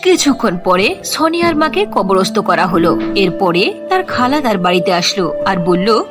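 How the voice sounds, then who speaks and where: A woman's voice: medium pitch, big pitch swings, moderate pace. A woman narrating a scripted children's fairy tale as storyteller. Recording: clear music under the voice.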